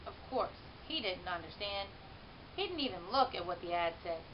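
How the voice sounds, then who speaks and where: A woman reads a children's story aloud in an expressive, animated way, stretching words for emphasis. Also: lowish voice, moderate pace. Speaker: teacher reading a children's book aloud. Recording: muffled, hissy home-style recording.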